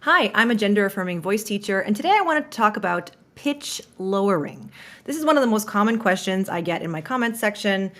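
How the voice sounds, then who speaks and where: A woman voice teacher gives an instructional explainer in a clear, friendly, explanatory way. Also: medium pitch, expressive, brisk, a bit bright.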